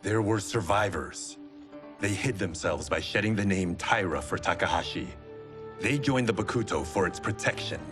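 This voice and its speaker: A man's voice: low-pitched, lively intonation, moderate pace, over music. An actor playing a proud, determined male swordsman in a video game, with an earnest, terse and intense manner. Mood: somber. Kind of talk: cinematic video game dialogue.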